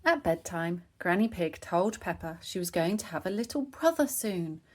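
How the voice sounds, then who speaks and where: A woman reading a children's story aloud, with expressive narration phrased for young listeners. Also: on the low side, normal speed. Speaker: storybook reader.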